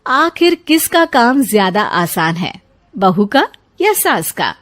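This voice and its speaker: A woman's voice: medium pitch, sing-song, slow pace, fairly bright tone. A woman reading scripted narration for a moral story as its narrator.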